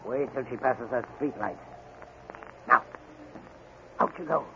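An actor playing a ruthless, commanding gang boss in old radio gangster style, on a very noisy recording. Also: male voice, medium pitch, takes it slowly, vocal fry, dull audio. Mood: menacing. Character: ruthless male gang boss, menacing kidnapper. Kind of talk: 1940s radio gangster acting.

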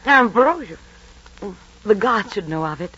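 A woman's voice: medium pitch, expressive, moderate pace, a bit bright, breathy edge. An actress playing a Roman emperor's doting, indulgent mother in an old radio drama, speaking in a stately, savoring way. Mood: fond, indulgent. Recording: dull, noisy vintage radio recording.

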